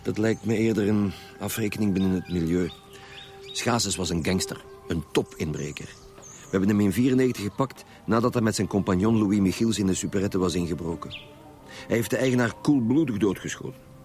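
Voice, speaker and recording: A man plays a gruff Flemish detective chief in a radio drama. His voice sits on the low side and he talks in curt, impatient commands. Music is clearly heard behind him. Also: brisk, a little mellow, close to an everyday voice. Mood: insistent. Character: gruff, impatient male homicide squad leader.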